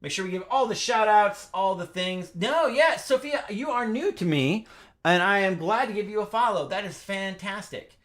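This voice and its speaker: A man's voice: high-pitched, lively intonation, moderate pace, fairly bright tone. A middle-aged man hosting a casual music livestream, chatty and upbeat, with lots of fillers. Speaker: livestream pianist host.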